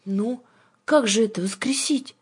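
Audiobook young girl played by a female reader: high, plaintive, timid and emotional, music behind. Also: a little slow, breathy edge. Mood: timid hope. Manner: plaintive, earnest, high-strung. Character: timid, emotional young girl pleading for her lost puppy.

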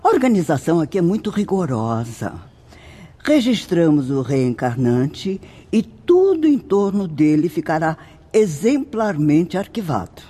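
Mellow, animated Brazilian spirit sister. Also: female voice, lowish voice, measured pace, slightly rough, heavily acted. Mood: composed, instructive. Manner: formal, didactic. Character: composed, instructive spirit sister guiding newcomers. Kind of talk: radio drama acting.

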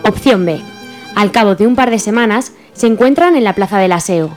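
A woman from Spain narrates a radio drama from a script, with a Spain accent. Her pitch is average, and soft music plays faintly underneath. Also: a little fast. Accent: Spain Spanish.

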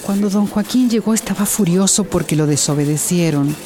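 An actress playing a troubled, defensive woman doctor in a radio drama, with a halting way of talking. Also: on the low side, dark tone, faint music behind, close to an everyday voice. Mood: troubled, hesitant. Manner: halting, hesitant, repeats words.

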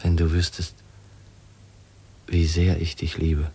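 An actor in a 1950s German radio drama playing an earnest, brooding young man with a husky voice and a melancholy manner. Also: low-pitched, monotone, slow, dark tone, heavily acted. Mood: tender, earnest. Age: young adult. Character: brooding, earnest young heir from circus life.

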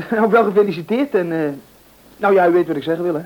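An actor playing a cheeky, careless schoolboy son in a radio serial, breezy and offhand. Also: male voice, high voice, fast, natural voice. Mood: sheepish. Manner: breezy, offhand, stammering when caught out. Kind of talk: Dutch radio serial acting. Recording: dull, lo-fi old radio recording.

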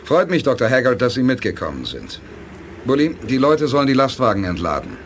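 An actor playing a calm, friendly, authoritative space commander in a German science-fiction radio drama, composed and decisive. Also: male voice, medium pitch, brisk, slight background noise.

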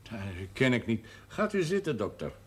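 A man's voice: mid-range voice, big pitch swings, moderate pace, lightly acted. A man acting in a television drama as a courteous, self-possessed parish priest who speaks formally. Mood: polite, composed. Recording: old TV soundtrack with faint noise.

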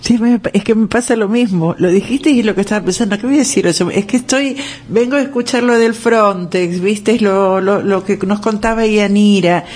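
A woman co-hosting a live radio show, talking casually in a halting way, repeating words as she gathers her thoughts. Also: mid-range voice, a little mellow. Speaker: radio co-host. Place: radio studio.

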